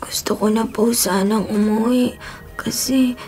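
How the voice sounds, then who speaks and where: A woman's voice: a little high, fairly even intonation, unhurried, darkish tone, faint music behind, close to an everyday voice. A woman playing a frail, plaintive sick young boy devoted to his parents in a Filipino radio drama. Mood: weak, longing.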